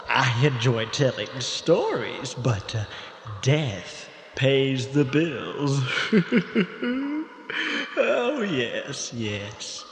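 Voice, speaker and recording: An actor playing a courteous, macabre old morgue attendant telling stories in a drawn-out, gleeful way, as a radio horror host. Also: male voice, on the high side, fairly bright tone, exaggerated voice. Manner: courtly, drawn-out, chuckling delivery. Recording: echoey hall with long reverb.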